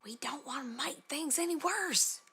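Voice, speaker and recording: A man voicing a cautious, sensible country pony mare in a hesitant falsetto. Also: moderate pace, sharp tone. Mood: worried.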